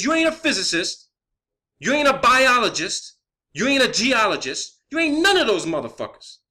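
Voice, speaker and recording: A man's voice: sits high, bright, forceful tone. A man delivering an unscripted, profane rant in a blunt, emphatic manner, often repeating phrases for emphasis, as an online video creator.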